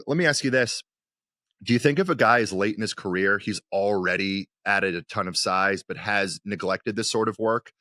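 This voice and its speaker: A man's voice: on the low side, bright, forceful tone, no echo, studio quality. A man hosting a podcast, interviewing conversationally and feeling his way through wordy questions.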